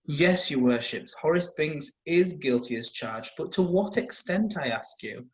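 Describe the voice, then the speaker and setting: A man's voice: fairly high pitch, animated, measured pace. A man playing an earnest defence lawyer in a courtroom play, formal, persuasive and pleading. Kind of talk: courtroom stage play acting. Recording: dull, lo-fi sound.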